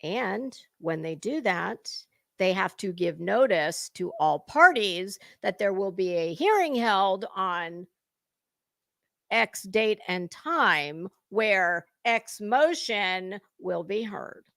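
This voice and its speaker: A woman hosting a gossip commentary show, reading aloud dramatically and commenting with blunt, scornful sarcasm and emphatic, drawn-out words. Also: mid-range voice, lively intonation, vocal fry, fairly bright tone, dry recording, studio quality.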